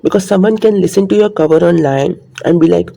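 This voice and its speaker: Man, casual vlogger monologue, earnest and rambling, slightly high with a gravelly edge. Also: fast, warm tone.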